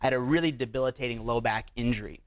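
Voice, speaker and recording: Fast-talking man, explanatory doctor guest. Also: medium pitch, no echo. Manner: explanatory, uses rhetorical check-ins like "right?" and "okay?". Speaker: doctor, interview guest promoting a clinic. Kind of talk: conversational promotional TV interview.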